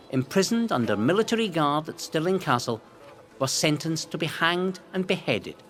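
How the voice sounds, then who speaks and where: A man narrates history from a script with theatrical emphasis and measured phrasing. His voice sits a little high for a man and rises and falls widely, with music playing underneath. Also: fairly bright tone. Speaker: narrator of a local history series. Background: music bed.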